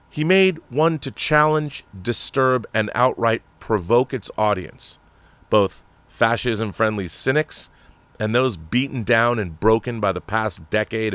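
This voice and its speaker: Male commentator, deep-leaning voice, very dry close sound, delivering a written essay with emphatic conviction. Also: fairly bright tone, no echo. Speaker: commentary show host. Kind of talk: reading an opinion essay aloud.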